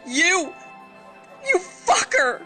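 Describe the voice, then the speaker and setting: A woman's voice: extremely high pitch, very animated, very slow, sharp tone, soft background music, heavily acted. A woman playing an anguished, angry soldier in an audio drama, impassioned, pleading and accusing. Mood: furious accusation. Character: anguished, angry female soldier haunted by betrayal.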